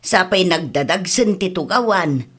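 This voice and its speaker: A woman's voice: fairly low pitch, lively intonation, measured pace, fairly bright tone. A woman playing an Ilocano-accented village mother in a comedy-drama, chatting about everyday matters. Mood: plain, chiding.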